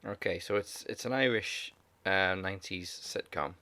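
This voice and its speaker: A man co-hosting a casual podcast, chatty and quick to laugh, retelling film scenes with put-on voices. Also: deep voice, bright, forceful tone, no room sound. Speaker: podcast co-host.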